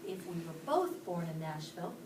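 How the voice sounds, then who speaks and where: A woman's voice: lowish voice, very animated, brisk, far from the mic, noisy background. Woman teaching a class, echoey room. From Pittsburgh, Pennsylvania. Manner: explains step by step, asks the class questions. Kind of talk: classroom instruction. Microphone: camera across the room.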